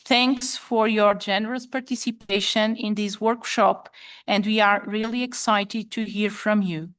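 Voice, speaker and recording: A woman's voice: mid-range voice, steady tone, fairly bright tone. Woman formally introducing a speaker. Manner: formal, measured reading with occasional fillers. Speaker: workshop moderator.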